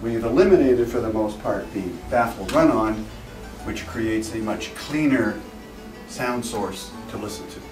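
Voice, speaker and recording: A man giving an informal, technical product presentation as a company representative, explaining things in a measured, slightly formal way. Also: fairly low pitch. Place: echoey indoor trade show demo room. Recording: roomy, distant mic, music in background.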